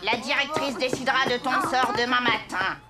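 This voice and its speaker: A woman's voice: a little high, moderate pace, over music, close to an everyday voice. An actress playing a mean, domineering woman who runs an orphanage in a children's cartoon. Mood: stern, menacing. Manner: stern, domineering, threatening.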